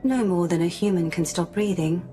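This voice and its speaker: An actress playing a calm, polite mind-reading female robot in a radio drama, speaking in a measured, formal, precise way. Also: lowish voice, close to an everyday voice. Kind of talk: scripted radio drama acting. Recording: music playing underneath.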